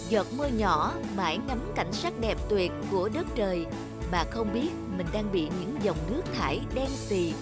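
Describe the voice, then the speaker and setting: A woman's voice: medium pitch, expressive, moderate pace, loud music behind the voice. A woman narrator reading a scripted children's story.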